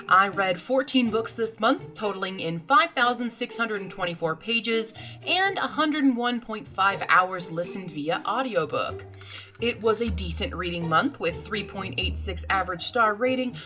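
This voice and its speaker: A woman's voice: medium pitch, bright, forceful tone. A woman hosting a video, reading a scripted recap as a voice-over. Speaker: video host. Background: music.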